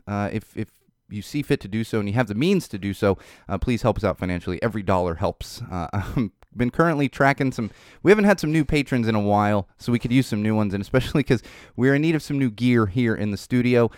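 A man hosting his podcast from a home studio, talking loosely with lots of fillers and repeated words, blunt and sardonic at times, and a bit hoarse. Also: medium pitch, fast, no room sound. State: losing his voice. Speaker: podcast host. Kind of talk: casual solo podcast talk. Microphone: studio mic with headphones.

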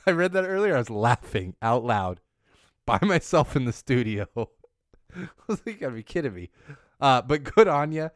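A man hosting a casual news podcast in a studio, rambling and correcting himself as he goes, his voice a bit hoarse from losing it. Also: mid-range voice, sing-song, normal speed, long pauses, no room sound. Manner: chatty, rambling, self-correcting. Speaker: podcast host.

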